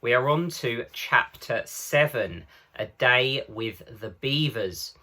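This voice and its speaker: Male teacher narrator for children's fiction, bright, forceful tone, mid-pitched. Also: animated, slow pace. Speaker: teacher reading aloud.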